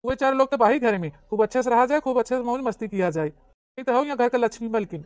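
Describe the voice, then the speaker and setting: A woman's voice: a little high, quick pace, darkish tone, no echo, lightly acted. A woman voicing a blunt, exasperated but forgiving village husband in an Awadhi comedy cartoon, with an Awadhi accent and dull, lo-fi sound.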